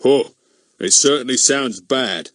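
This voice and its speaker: A man's voice: mid-range voice, takes it slowly, fairly bright tone, no echo, studio quality, pitched above the performer's own voice. A man voicing a pompous, heavy middle-aged village policeman who barks bossy, threatening demands. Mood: exasperated.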